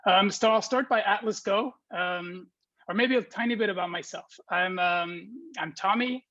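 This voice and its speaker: High-voiced young man from Belgium. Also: moderate pace, highs cut off. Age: 20s. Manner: friendly, relaxed, many ums and restarts. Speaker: guest speaker. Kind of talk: informal talk to students.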